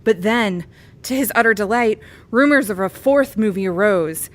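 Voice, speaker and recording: A woman's voice: medium pitch, animated, moderate pace. A woman reading a bawdy comic parody story aloud as a storyteller. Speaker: comedy storyteller.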